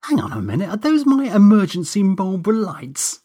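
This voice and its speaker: Guy putting on a fed up young woman, snippy, yelling, way up high. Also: very animated, moderate pace, husky, full character voice. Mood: incredulous. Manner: incredulous, contemptuous, screaming. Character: exasperated sharp-tongued young woman.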